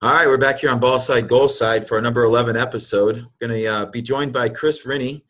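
A man's voice: medium pitch, fairly even intonation, quick pace, a bit bright. A man hosting a podcast, talking casually and hesitantly, with lots of fillers and restarts. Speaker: podcast host.

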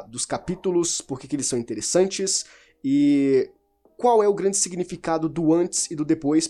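A man's voice: fairly high pitch, dark tone. A man talking informally about a novel, rambling, restarting and correcting himself as he goes. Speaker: book reviewer. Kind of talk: casual spoken book discussion.

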